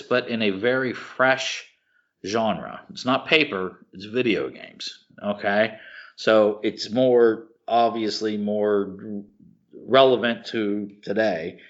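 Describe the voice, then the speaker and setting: A man co-hosting a podcast, chatting casually and enthusiastically, halting and searching for words as he rambles. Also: fairly low pitch. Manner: informal, enthusiastic. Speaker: podcast co-host. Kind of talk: casual chat about films and TV shows. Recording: slightly dull, lo-fi podcast audio.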